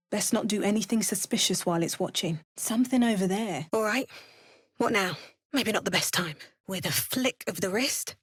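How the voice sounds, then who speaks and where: An actress playing a watchful, wry and resolute female fantasy adventurer in a video game, with a measured, theatrical delivery. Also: mid-range voice, husky, dry recording, studio quality. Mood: watchful, curious. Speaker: voice actor for a player character.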